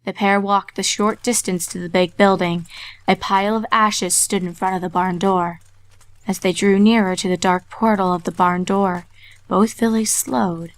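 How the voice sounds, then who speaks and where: A woman reading a dark fan story aloud in a measured way, dropping to a hush on eerie lines. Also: mid-range voice, steady tone, no echo. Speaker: reader.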